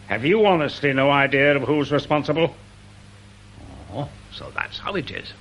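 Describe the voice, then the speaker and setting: Blustering older British town worthy. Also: male voice, a little high, lively intonation, normal speed, fairly bright tone. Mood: indignant. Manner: blustering, insistent, worried about appearances. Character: fussy, indignant older father-in-law, a town committee chairman. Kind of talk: radio drama acting. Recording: radio recording with faint hum.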